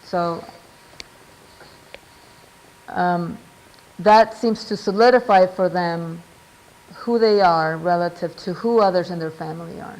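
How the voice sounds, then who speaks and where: A middle-aged woman lecturing at an academic seminar. She speaks in a measured, thoughtful way, pausing with fillers and asking "right?" to keep her listeners with her. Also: lowish voice, darkish tone, poor audio. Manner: measured, reads out quotes, frequent ums and "right?". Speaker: researcher giving a seminar talk.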